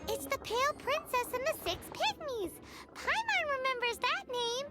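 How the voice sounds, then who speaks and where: A woman voicing a tiny childlike fairy companion in a video game, chirpy and cheeky with a squeaky voice. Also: sing-song, normal speed. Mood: bright, curious. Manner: chirpy, speaks of herself in third person. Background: playful children's music.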